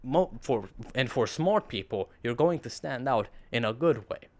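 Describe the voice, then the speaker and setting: A teenage boy with a deep radio voice, talking earnestly to camera in a rambling vlog monologue with sarcastic asides. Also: expressive, unhurried, a little mellow. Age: teens. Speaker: vlogger.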